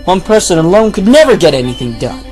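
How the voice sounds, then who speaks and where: A brave young hedgehog hero, acted by a man in a radio play, earnest and resolute. The delivery is animated, with faint music behind it. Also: high-pitched, fast talker. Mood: determined. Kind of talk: amateur radio play acting.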